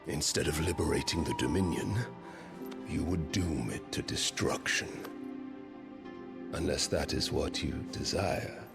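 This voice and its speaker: An actor playing a smug, imposing warlord in a video game, gravelly and formal, deliberate and taunting. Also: male voice, rumbling low, heavily acted. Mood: grave warning. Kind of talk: video game cutscene acting. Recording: loud music under the voice.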